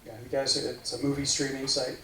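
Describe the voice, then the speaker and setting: A man's voice: mid-range voice, completely flat delivery, fairly quick, a bit bright. Man rambling with "right, like" in an echoey room. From United States. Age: 40s. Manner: rambling, filler-heavy, says "right, like" often. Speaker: meetup speaker. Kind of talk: casual tech talk. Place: meeting room. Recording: echoey room from far off, faint noise.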